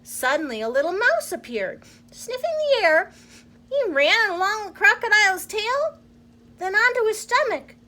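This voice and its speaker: A woman reading a children's storybook aloud in an expressive, deliberate storyteller's manner. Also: very high voice.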